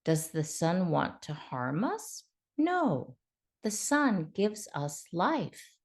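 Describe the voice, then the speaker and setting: A woman's voice: fairly low pitch, sing-song, very slow, exaggerated voice. A woman voicing a small, kind, reasonable female mouse deer in a storybook, earnest and pleading. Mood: earnest, reasoning.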